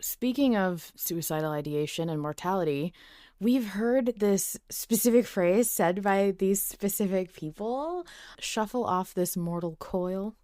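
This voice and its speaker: Smooth, low-pitched woman, dry sound. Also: unhurried, dark tone, dry recording. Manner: hesitant, recalling aloud. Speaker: podcast co-host. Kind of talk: casual chat.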